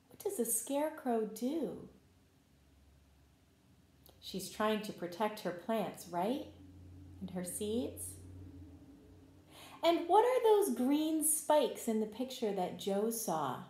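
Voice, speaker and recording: Female storytime reader for preschoolers, slow and very lively in intonation, encouraging, recorded in an echoey room. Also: on the high side. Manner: animated, encouraging, addresses listeners with questions. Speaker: pre-kindergarten teacher reading a picture book to children. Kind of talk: expressive storybook read-aloud with questions to young children. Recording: roomy, echoing room sound.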